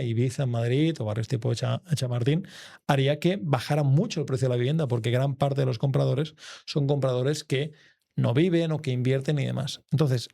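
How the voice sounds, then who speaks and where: A man, a guest real estate investor, speaking in a measured, explanatory way in an interview. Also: mid-range voice, mellow. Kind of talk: conversational interview.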